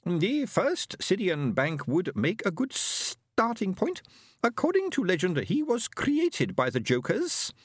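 A man acting out a prim, easily offended alien, high-pitched and slow-spoken. He draws out his words with grand disdain. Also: wildly swooping pitch, husky, dry recording, studio quality, exaggerated voice. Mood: haughty. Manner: shrill, indignant, drawn out. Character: haughty fussy alien male Fenobe.

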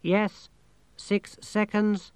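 A man's voice: high voice, little pitch movement, extremely slow, clean tone, no room sound, voice pushed up. A man voicing an unflappable, matter-of-fact alien machine that speaks precisely and literally, in a storybook reading.

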